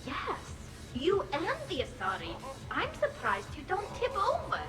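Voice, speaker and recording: An earnest, literal-minded alien woman from a video game. Her voice is high with a lot of melodic movement. Music plays underneath. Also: wildly swooping pitch, unhurried, full character voice.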